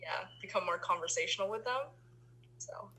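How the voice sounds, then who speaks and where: Young woman from the philippines, chatty student, lots of ums, slightly low voice. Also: moderate pace, bright, forceful tone, a little hiss or hum, rough recording. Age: young adult. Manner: chatty, many ums and restarts. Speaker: interviewee, university student.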